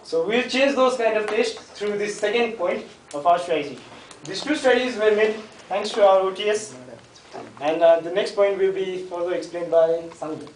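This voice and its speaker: A man's voice: sits high, normal speed, a little hiss or hum. A young man gives a hesitant, joking class presentation and quizzes the class. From Nepal. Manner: hesitant, jokey, addresses the class. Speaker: student presenter. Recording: echoey classroom, mic far off.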